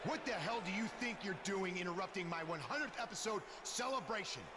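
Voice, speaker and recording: A man's voice: high-pitched, expressive, a little fast. A man voicing a pompous, smug wrestling star in a video game promo, theatrical and condescending. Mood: indignant. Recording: game audio with clear background noise.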